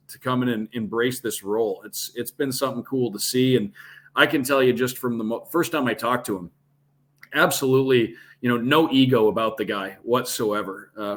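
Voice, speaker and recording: A man speaking as a guest radio play-by-play announcer, giving measured, detailed sports analysis in a conversational way. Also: medium pitch, a bit bright.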